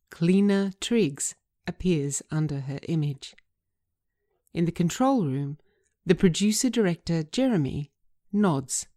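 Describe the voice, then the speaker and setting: Lowish-voiced woman reading screenplay directions. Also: mellow. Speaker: writer reading own script. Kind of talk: scripted narration of screenplay directions.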